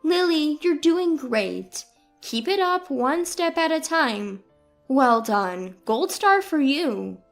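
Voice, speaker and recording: A woman voicing a devoted, gentle grandmother who speaks in a patient, reassuring way in a narrated family story. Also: sits high, animated, takes it slowly, faint music behind, heavily acted. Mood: encouraging.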